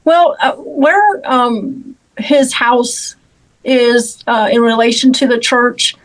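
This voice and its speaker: A woman in law enforcement answering interview questions about an investigation, in a measured, halting way with repeated words. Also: a little high, low-quality recording.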